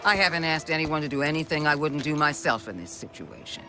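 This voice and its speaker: A man playing a cold, controlling task force authority in a video game, speaking in a measured, formal, pointed way. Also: on the high side, expressive, pushed and bright, soft background music. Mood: cool, condescending. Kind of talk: dramatic video game acting.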